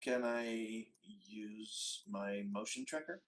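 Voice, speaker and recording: Male player in a casual tabletop game, average pitch, roomy echo on the mic. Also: slow pace.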